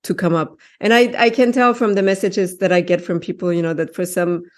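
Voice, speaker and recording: Woman podcast host, lowish voice. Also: fairly quick. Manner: lively, laughs often, asks long questions. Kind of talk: casual interview.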